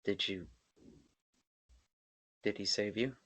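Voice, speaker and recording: A man playing a gentle, heartbroken partner in a table read of a drama, speaking softly and haltingly. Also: lowish voice, breathy edge, heavily acted. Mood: hurt, probing. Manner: halting, soft-spoken. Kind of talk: acted screenplay table read.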